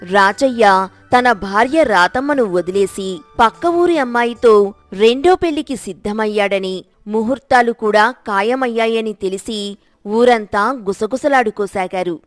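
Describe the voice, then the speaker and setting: A woman narrator reading a scripted moral tale in storytelling style. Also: fairly high pitch.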